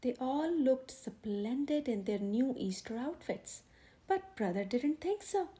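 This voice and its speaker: A woman narrates a children's storybook in a high, mellow voice. Her phrasing is expressive, with plenty of rise and fall. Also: slow. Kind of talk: children's picture book read aloud.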